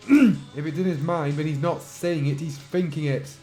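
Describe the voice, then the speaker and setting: A man with a slightly high voice and a sore throat reads fan fiction aloud. He keeps cutting in with dry, sarcastic remarks and clears his throat a lot. Faint music plays softly underneath. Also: measured pace. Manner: dry, mocking asides, frequent throat clearing. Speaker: channel host reading fan fiction. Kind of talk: dramatic reading with sarcastic commentary.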